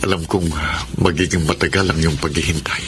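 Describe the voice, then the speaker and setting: An earnest prisoner, acted by a man, with a lowish voice and sincere delivery. It is radio drama speech with a faint noisy backdrop. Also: steady tone, brisk, close to an everyday voice. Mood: grateful, earnest. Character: earnest, grateful male prisoner photographer. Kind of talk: Filipino radio drama acting.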